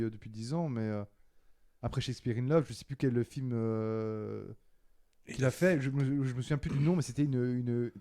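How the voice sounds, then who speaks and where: A man's voice: mid-range voice, mellow. A man co-hosting a film podcast, chatting casually and giving blunt, opinionated takes. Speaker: podcast co-host.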